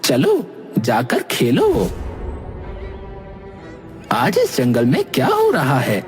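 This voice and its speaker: An actor performs a playful male cartoon animal with a slow, drawn-out delivery. The mid-pitched voice swings wildly and theatrically, with dramatic exaggeration over music. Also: wildly swooping pitch, darkish tone, heavily acted. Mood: sympathetic, playful. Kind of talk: Hindi cartoon character dialogue.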